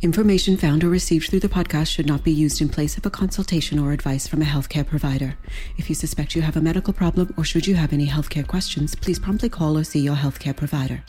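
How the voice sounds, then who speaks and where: A woman with a deep, dark voice reads a podcast disclaimer at high speed. Her delivery is brisk and even, and faint music sits underneath. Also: husky. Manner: brisk, even legal read. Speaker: disclaimer announcer. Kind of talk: scripted read disclaimer.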